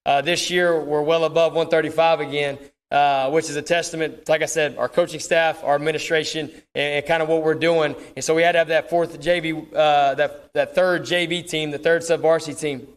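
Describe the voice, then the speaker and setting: Male, Texan football coach, somewhat high voice, informal podium speech with folksy repetition. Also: little pitch movement, a little fast, few pauses, clean tone. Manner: folksy, repeats words and phrases. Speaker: football coach hosting an awards ceremony. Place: speaking to an audience at a school awards ceremony.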